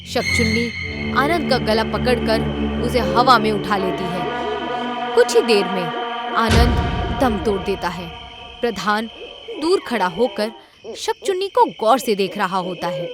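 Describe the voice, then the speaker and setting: A woman narrates a scripted horror story for an animated tale. Her voice sits fairly high and swings with lively intonation. Music plays clearly behind her. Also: slow pace.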